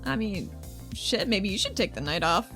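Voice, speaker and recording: A woman's voice: medium pitch, lively intonation, normal speed, fairly bright tone, soft background music. A woman playing a prickly, sardonic female character in a tabletop game, blunt and informal. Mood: irritated. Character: irritable, foul-mouthed, nervy woman named Athena.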